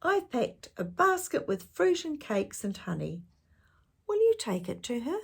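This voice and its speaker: A woman reading a gentle, careful storybook mother. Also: a little high, very animated, measured pace, vocal fry, husky, voice pushed up, exaggerated voice. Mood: caring. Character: caring, cautious mother. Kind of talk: storybook read-aloud.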